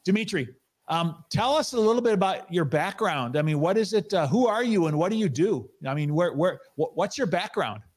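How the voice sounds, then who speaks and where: A man's voice: high-pitched, lively intonation, fairly quick, a little mellow. A man in his fifties hosting a conversational interview, speaking into a close broadcast microphone. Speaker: interview host. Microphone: broadcast microphone close in front.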